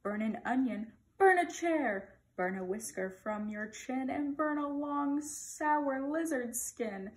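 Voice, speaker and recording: A woman voicing sinister storybook magicians chanting a spell in rhythmic, drawn-out incantation. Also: sits high, animated, low-quality recording. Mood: gleeful incantation.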